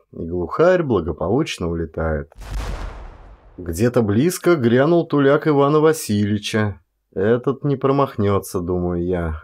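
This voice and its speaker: A man, an actor, reads a first-person story as an expressive audiobook performance, with varied delivery and deliberate pauses. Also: on the low side.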